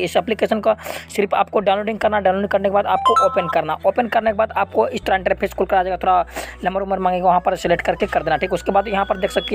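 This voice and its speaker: A man's voice: sits high, creaky. A man hosting an unscripted app tutorial, repeating himself and often adding check-in tags. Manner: repetitive, with frequent check-in tags. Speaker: app tutorial video host. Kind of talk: unscripted screen-recording tutorial.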